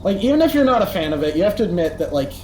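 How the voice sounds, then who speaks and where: A teenage boy chatting casually as co-host, rambling and animated with lots of fillers. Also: sits high, rapid-fire. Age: teens. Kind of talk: casual chat. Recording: echoey room, faint noise.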